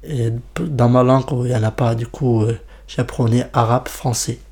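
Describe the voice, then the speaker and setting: A young man whose first language is Kurmanji, speaking French in a documentary interview, often pausing and repeating words. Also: medium pitch, fairly even intonation, creaky, a little mellow. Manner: hesitant, repeats words while searching for them.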